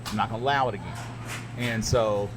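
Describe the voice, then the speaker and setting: A man's voice: fairly low pitch, fairly quick, over music. A man hosting a casual podcast chat, telling stories candidly and emphatically, with plenty of restarts. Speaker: podcast host and radio broadcaster. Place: radio studio.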